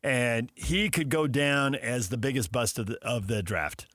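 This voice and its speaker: A man's voice: medium pitch, unhurried, fairly bright tone, no echo, studio quality. An American man hosting a sports talk radio show, bantering with guests and colleagues.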